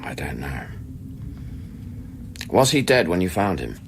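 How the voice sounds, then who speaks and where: An actor playing a sober, suspicious investigator in a radio play, pressing with short, clipped questions. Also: male voice, low voice, very animated, unhurried, slight background noise, exaggerated voice. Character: probing, insistent male investigator, sober and suspicious. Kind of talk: radio drama acting.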